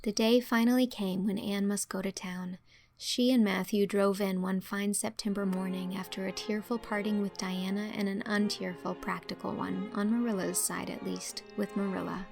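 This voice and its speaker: A woman's voice: medium pitch, level tone, moderate pace, gravelly. A woman reading scripted storybook narration as the narrator of an audiobook. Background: music.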